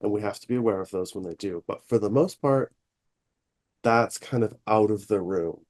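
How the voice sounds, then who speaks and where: A man who works as a therapist, co-hosting a podcast and speaking candidly and reflectively in a relaxed chat. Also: moderate pace. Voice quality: relatively deep voice. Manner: reflective, candid, frequent fillers. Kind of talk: casual chat.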